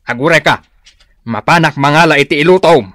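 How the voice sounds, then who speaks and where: A man's voice: on the high side, brisk, fairly bright tone, no room sound, studio quality, close to an everyday voice. An actor with an Ilocano accent playing a sneaky, hen-pecked older village man who stammers when cornered, in a radio comedy drama. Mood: sly.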